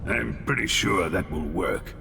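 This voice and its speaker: An actor playing a gruff, weary ally giving mission briefings in a video game, gravelly and matter-of-fact. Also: male voice, deep voice, unhurried, full character voice. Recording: long reverb, engine and ambient noise.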